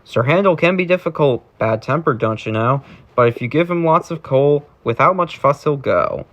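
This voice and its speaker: A man reading a rhyming children's storybook aloud in an expressive, sing-song way. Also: fairly high pitch, moderate pace, muffled recording. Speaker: storybook reader.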